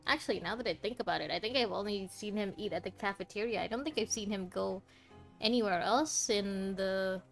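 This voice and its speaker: A woman's voice: medium pitch, a little fast, gravelly, faint music behind. A woman giving casual, rambling live commentary as she plays a video game. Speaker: game streamer.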